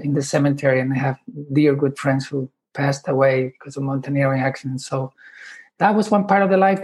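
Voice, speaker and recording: A man in his fifties from Chile, speaking English, talking haltingly with many restarts as a podcast guest. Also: medium pitch, darkish tone. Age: 50s. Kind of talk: podcast interview.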